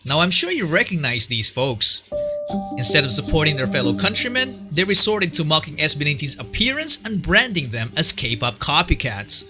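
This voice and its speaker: A man's voice: fairly high pitch, very animated, normal speed, over music. A man narrating a fan-made documentary from a script, in a chatty way that asks the viewer questions.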